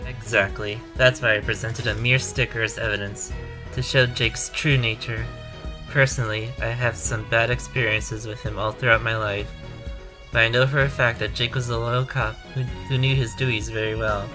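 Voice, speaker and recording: A man's voice: medium pitch, little pitch movement, moderate pace, music in the background, close to an everyday voice. A man playing an earnest, determined defense attorney in a courtroom audio drama, arguing emphatically.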